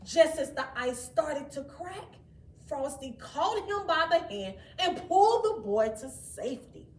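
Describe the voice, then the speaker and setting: A woman reading a children's story aloud in an expressive, dramatic way, with asides to her young listeners. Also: high-pitched, measured pace. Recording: roomy, distant mic, faint background noise.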